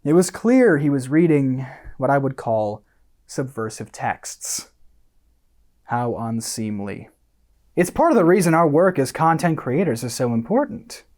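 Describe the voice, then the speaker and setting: An actor playing a smug, self-satisfied content creator in a radio monologue, condescending and theatrical, with deliberate pauses. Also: medium pitch, a little mellow. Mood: fervent, preachy.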